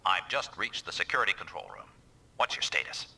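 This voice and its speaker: An actor playing a gruff mech squad leader in a video game, giving clipped, authoritative military orders. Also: male voice, deep voice, very animated, fairly quick, recorded from a distance, heavy background noise, heavily acted. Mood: grave, businesslike.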